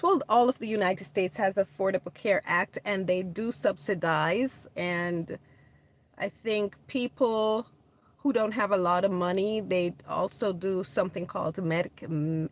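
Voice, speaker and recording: Slow-talking chatty woman livestreaming. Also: medium pitch. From Jamaica. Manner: chatty, laughs often, answers live comments. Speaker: livestream host. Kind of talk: casual chat answering viewers. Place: home in California.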